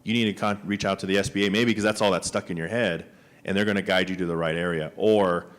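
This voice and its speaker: A man's voice: low-pitched, steady tone, fast talker. A man, a small business agency official, giving conversational panel remarks with frequent fillers and restarts. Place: conference room panel.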